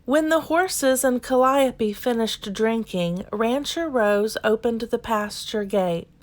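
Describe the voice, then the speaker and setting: Slow, higher-voiced woman reading a storybook. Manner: deliberate dramatic storytelling. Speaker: children's book reader. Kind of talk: expressive scripted storybook narration.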